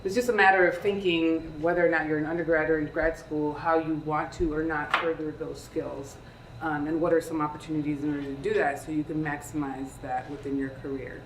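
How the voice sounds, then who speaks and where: A woman's voice: low voice, little pitch movement, a little fast. A woman in her thirties, born in Peru, speaking on a career panel to students in a conversational way and often asking them questions. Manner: conversational, asks the audience questions. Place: lecture room. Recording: echoey room, distant mic, faint hiss.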